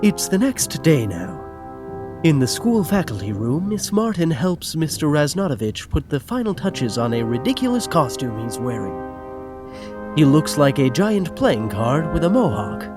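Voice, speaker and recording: A man's voice: fairly high pitch, very animated, moderate pace, music in the background. A man narrating the action of a scripted audio drama.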